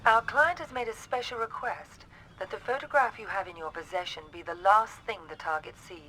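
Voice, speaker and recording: A woman's voice: mid-range voice, a little fast, bright, forceful tone, natural voice, poor audio. A woman playing a composed, businesslike agency handler giving a mission briefing in a video game. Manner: businesslike, smooth, detached.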